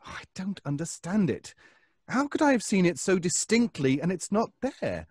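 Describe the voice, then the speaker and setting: An actor playing a nervous, obsessive neighbor in an old-time radio suspense drama, stammering and pleading. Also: male voice, sits high, sing-song, normal speed, slightly rough, no echo, clean studio sound, full character voice. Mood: bewildered. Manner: stammering, hesitant, breaking off.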